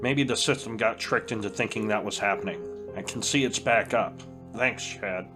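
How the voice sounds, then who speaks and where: An actor playing a calm male leader who gives composed instructions to a group. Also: on the low side, moderate pace, music in the background, close to an everyday voice. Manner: composed, directive.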